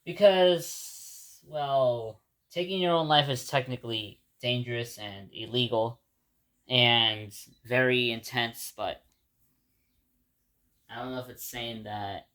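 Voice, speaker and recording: A man's voice: mid-range voice, fairly even intonation, slow, sharp tone. A man from Ecuador, a native Spanish speaker, gives a casual spoken reflection to his viewers. He rambles, restarts and repeats words, and keeps addressing them as "guys". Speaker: vlogger.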